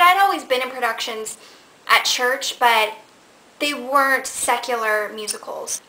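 Bright-voiced chatty young woman vlogger. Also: a little high, a little hiss or hum, low-quality recording. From Nebraska. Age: 20s. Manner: chatty and animated, gestures with hands while telling stories. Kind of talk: casual Q&A vlog, storytelling. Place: living room at home. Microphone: camera in front at desk distance.

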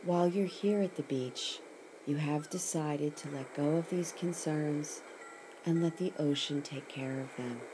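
A woman narrating a scripted guided imagery meditation in a soothing, measured way, leaving pauses between short phrases. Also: low-pitched. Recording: clearly noisy recording with ambient sound. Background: ocean sounds.

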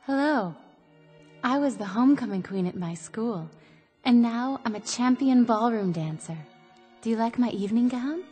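A woman's voice: a little high, lively intonation, normal speed, warm tone, slightly rough. An actress playing a gracious young beauty-queen display android in a radio drama, poised and rehearsed. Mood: gracious, presenting. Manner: poised, rehearsed showroom presentation. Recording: hall reverb with music behind.

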